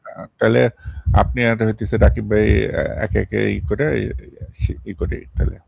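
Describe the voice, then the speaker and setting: A man with a heavily gravelly, husky voice hosts an informal live discussion. His delivery stays very even in pitch. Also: normal speed, dry recording, highs cut off. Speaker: live stream host. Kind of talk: informal live show hosting.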